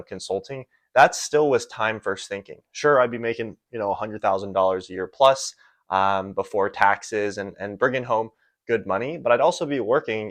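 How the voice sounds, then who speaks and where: A young American man with a fairly low voice, recorded dry and close. He talks in a casual, unscripted coaching style, rambling conversationally and tagging his points with little check-in questions. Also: no room sound. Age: young adult. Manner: conversational, rambling, often tags phrases with "right?". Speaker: online business and sales coach. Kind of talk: casual unscripted motivational explainer to camera.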